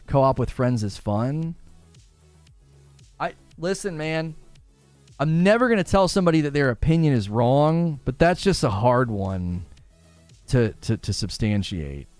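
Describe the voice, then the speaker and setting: A man's voice: medium pitch, big pitch swings, unhurried, pauses often, darkish tone, clear, resonant tone, dry recording, faint music behind. A man hosting a gaming livestream, talking casually and informally to his viewers and reading their comments aloud. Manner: informal, slangy, addresses viewers directly. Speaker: gaming podcast host and livestreamer.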